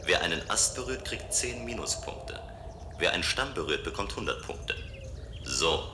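An actor playing a restless, playful man of a future city in a German radio play, with a measured, musing delivery. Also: deep voice, expressive, very noisy. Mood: playful, competitive.

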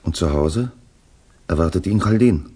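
Radio drama casting: sober sceptic, low-pitched and husky, matter-of-fact, conversational questioner. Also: male voice, lively intonation, measured pace, warm tone, exaggerated voice. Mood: sceptical.

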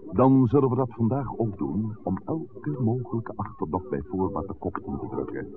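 An actor playing a reflective, cautious older village priest in a 1960s radio drama, speaking formally and with measured phrasing. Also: male voice, on the low side, expressive, over music. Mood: cautious, instructing. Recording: noisy, tinny old radio recording.

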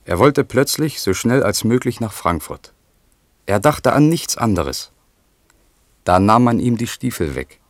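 Grave chronicler, low voice, very unhurried, dry close sound, radio drama narration. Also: male voice, very animated, no echo. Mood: sober. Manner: sober, deliberate. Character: sober, grave chronicler of a troubled poet's life. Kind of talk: scripted radio play narration.

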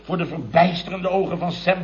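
Actor as blustering boss, slightly high-pitched. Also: male voice, fairly quick, darkish tone, lightly acted. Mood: sarcastic, exasperated. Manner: sarcastic, tags sentences with chuckling asides. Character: blustering, jovial boss of a building firm, grumbling storyteller. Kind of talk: 1970s Dutch radio comedy acting. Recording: dull vintage radio recording with audience.

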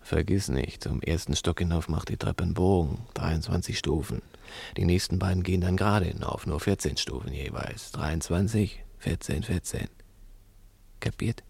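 An actor playing a gruff, impatient crook in a 1960s radio play, terse and commanding in a hushed voice. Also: male voice, sits low, normal speed, no echo, heavily acted. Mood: hushed, instructing. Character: gruff, impatient male crook leading a break-in. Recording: 1960s radio recording, music behind.

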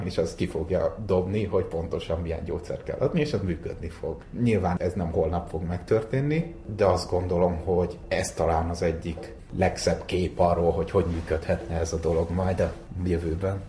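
Echoey, deep, dark-voiced man. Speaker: researcher. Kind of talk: informal interview explanation. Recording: roomy, echoey, faint background noise.

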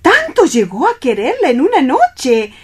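An actress playing a flamboyant, self-important international opera diva in a radio play, with grand, emphatic delivery. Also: female voice, sits high, wildly swooping pitch, a little slow, a little hiss or hum, full character voice. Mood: excited curiosity.

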